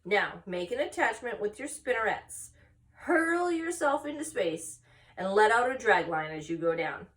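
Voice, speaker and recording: A wise female spider from a children's book, played by a woman reading aloud, frank but kindly. The delivery is instructive and very varied in pitch, recorded slightly away from the microphone. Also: very animated, a little slow, fairly bright tone, distant mic. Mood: instructing. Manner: instructive, matter-of-fact.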